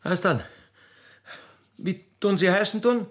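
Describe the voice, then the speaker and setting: A man voicing a grumpy, officious young country railway clerk girl with an Austrian accent, halting and cheeky, in a comic radio play. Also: high-pitched, voice pushed up. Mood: sullen. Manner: halting, stammering, pedantic, cheeky.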